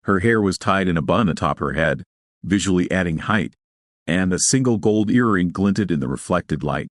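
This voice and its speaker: A man's voice: low voice, animated, moderate pace, no room sound, studio quality. A man reading scripted fiction as a story narrator.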